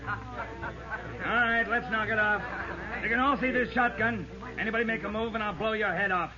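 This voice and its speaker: High-pitched menacing hold-up man, an actor's barked orders. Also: steady tone, moderate pace, a crowd in the background, lightly acted. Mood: threatening, commanding. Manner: barking curt threatening orders. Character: menacing male armed robber, ringleader of a hold-up gang. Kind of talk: 1940s radio crime drama acting. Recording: dull vintage radio recording with music behind.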